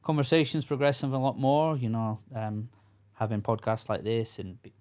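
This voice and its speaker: A man's voice: mid-range voice, normal speed, darkish tone. A middle-aged Scottish man talking casually as a podcast guest, earnest and frank with many fillers and restarts. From Scotland. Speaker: podcast guest, coach to construction workers. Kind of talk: casual interview chat.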